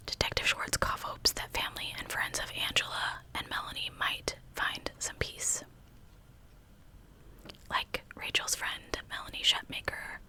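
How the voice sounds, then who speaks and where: Woman in a crisp, bright whisper. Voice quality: breathy, unvoiced whisper. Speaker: true crime video host. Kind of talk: ASMR true crime narration.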